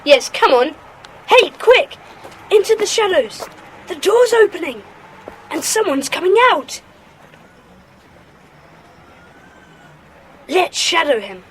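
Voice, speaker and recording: A woman playing a brisk, commanding schoolboy leader of a detective gang in a children's audio drama. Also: very high-pitched, very animated, slight background noise, full character voice. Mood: urgent, excited.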